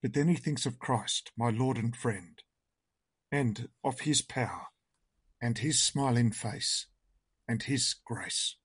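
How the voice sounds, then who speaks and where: A man with a hoarse, croaky voice, leading an online worship service, reading a hymn and praying in a slow, earnest and reverent manner. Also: mid-range voice, fairly bright tone, no room sound.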